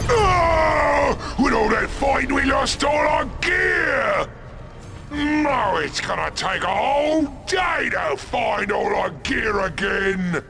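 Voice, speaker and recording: Shouty, gravelly Cockney ork brute. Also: male voice, very high voice, exaggerated voice. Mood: angry, alarmed. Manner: crude, ungrammatical, belligerent bellowing. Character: brutish, boisterous male ork warboss and his gang. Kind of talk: video game cutscene acting.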